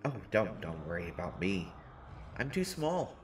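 A man's voice: deep voice, huge pitch swings, unhurried, a little hiss or hum, full character voice. A man voicing a short teenage boy who jokes nervously and pokes fun at himself in a listener-directed audio roleplay. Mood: joking. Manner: rambling, self-mocking, nervous humor. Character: short teenage boy, joking and self-deprecating.

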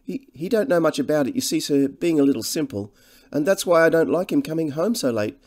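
A man's voice: fairly high pitch, a little fast, pitched above the performer's own voice, lightly acted. A man voicing a fretful, humble working-class English countrywoman, deferential and hesitant, as in an audiobook. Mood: fretful, preoccupied. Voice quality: monotonous.

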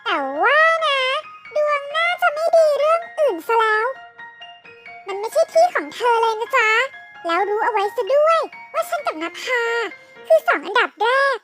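Brief: woman voicing a spoiled high-pitched cartoon schoolgirl, mocking and haughty, music bed. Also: sing-song, moderate pace, a bit bright, full character voice. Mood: sneering. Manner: sneering, mocking. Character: haughty rich teenage schoolgirl queen bee. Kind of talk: fan cartoon voice acting. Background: background music.

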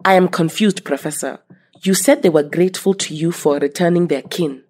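A woman voicing a dignified mutant leader who speaks formally and gently, in an audio drama. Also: fairly low pitch, moderate pace, lightly acted.

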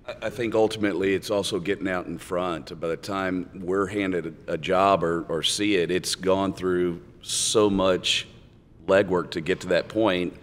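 A man's voice: low-pitched, steady tone, measured pace. A man on a conference panel, speaking in a direct, opinionated way. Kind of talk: panel discussion. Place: conference hall stage panel. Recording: reverberant conference hall.

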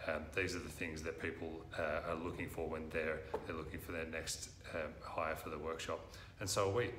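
An Australian man in his thirties, speaking earnestly to camera as a recruitment presenter, often pausing with "uh". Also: low-pitched, fairly bright tone, a little hiss or hum. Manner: earnest, frequent "uh" fillers. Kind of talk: direct-to-camera talk. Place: office room, indoors. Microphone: lapel mic. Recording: roomy office echo.

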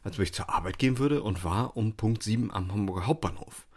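A man's voice: fairly low pitch, quick pace, darkish tone, husky. A man co-hosting a podcast, telling rambling anecdotes in a casual, tongue-in-cheek way. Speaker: podcast co-host.

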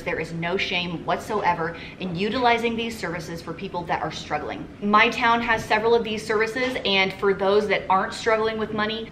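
A woman's voice: mid-range voice, fairly bright tone, slight background noise, poor audio. A woman in her thirties talking casually to the camera as a budget cooking vlogger, earnest and practical as she explains prices step by step. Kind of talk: casual vlog talk to camera. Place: living room, sitting on a couch.

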